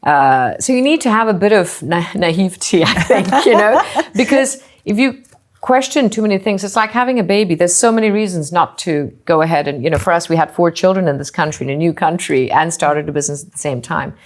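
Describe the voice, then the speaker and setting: Low-voiced woman from Singapore, musing. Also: brisk. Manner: thoughtful, with frequent "you know" and restarts. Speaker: hotel group co-founder and CEO. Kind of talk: conversational interview answers.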